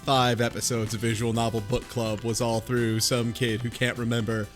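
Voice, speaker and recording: Guy chatting on a podcast, regular pitch, faint music in back. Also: flat delivery, normal speed. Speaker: podcast co-host. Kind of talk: casual chat.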